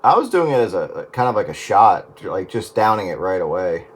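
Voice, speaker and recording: A man's voice: low-pitched, very animated, normal speed, very noisy. A man chatting casually over the radio, rambling and correcting himself as he goes.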